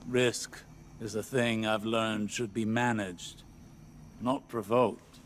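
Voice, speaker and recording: A man's voice: on the low side, steady tone, takes it slowly, a little hiss or hum. An actor voicing a wary, analytical male scholar in a video game, with careful, precise speech. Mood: cautious.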